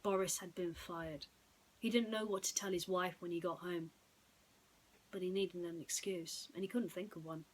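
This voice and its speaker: A woman's voice: lowish voice, steady tone, normal speed, husky, rough recording. A woman storyteller narrating a bedtime story in a dramatic, suspenseful way.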